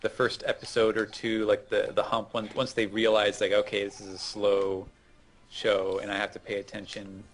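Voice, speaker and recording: A man's voice: lowish voice, completely flat delivery, normal speed. A man talking casually as a co-host on a gaming show, chatting and giving game advice.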